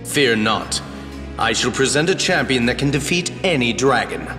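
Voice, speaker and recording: A man's voice: mid-range voice, expressive, moderate pace, natural voice. A man voicing a haughty, scheming lord in a video game, pompous and commanding. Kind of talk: dramatic video game voice acting. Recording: echoing hall reverb under music.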